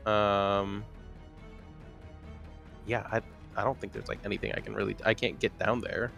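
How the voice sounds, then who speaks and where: Low-voiced man playing a tabletop game. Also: vocal fry, a bit bright. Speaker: player in a tabletop roleplaying game. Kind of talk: casual game-table chat. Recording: background music under the voice.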